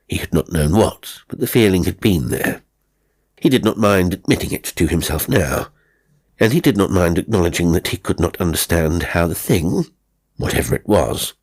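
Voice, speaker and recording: A man reading a horror story as an audiobook narrator, in measured, suspenseful phrases that often fall to a hush. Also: sits low, slightly rough, no echo. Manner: measured, suspenseful, breaking into short weighted phrases. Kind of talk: scripted dramatic horror narration. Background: faint music.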